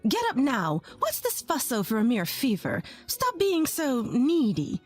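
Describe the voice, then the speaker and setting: Shrill scornful mother-in-law, put on by a woman, high voice, sneering, contemptuous, faint music. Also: animated, a little fast, a little mellow.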